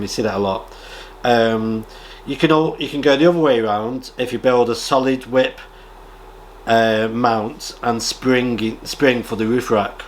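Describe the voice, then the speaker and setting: A British man chatting casually as a radio hobby vlogger, rambling in a halting way and often clearing his throat. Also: on the low side, a little hiss or hum. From United Kingdom. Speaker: amateur radio vlogger and presenter. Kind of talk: casual rambling chat, reading out viewer comments.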